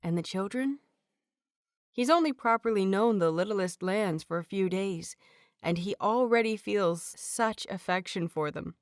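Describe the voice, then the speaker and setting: Expressive woman narrating a fanfic audiobook. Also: mid-range voice, measured pace, dry recording, studio quality. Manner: expressive, playful inner-monologue delivery. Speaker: audiobook reader.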